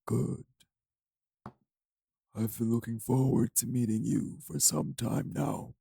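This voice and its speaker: A man playing a menacing, urbane old vampire kidnapper in an audio drama, with a measured, condescending, formal delivery and a gravelly voice. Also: fairly even intonation, warm tone, heavily acted. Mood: calm menace. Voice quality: gravelly, low. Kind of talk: audio roleplay drama acting.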